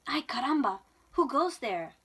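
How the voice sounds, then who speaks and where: Woman acting excitable, boastful little boy kitten. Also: mid-range voice, takes it slowly. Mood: bold. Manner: excitable, theatrical. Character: bouncy, boastful little boy kitten playing Chihuahua swordsman. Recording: clear background room noise.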